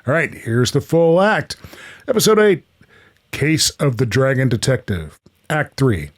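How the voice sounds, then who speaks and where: A man's voice: medium pitch, big pitch swings, a little slow, a little hiss or hum. A man presenting a radio play, reading out story rules and announcing acts and scenes.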